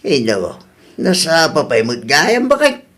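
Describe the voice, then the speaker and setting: A man with a Filipino accent playing a sensible old grandfather who gently admonishes in a radio comedy drama. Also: fairly high pitch, huge pitch swings, slow pace, heavily acted. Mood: dry, unhurried.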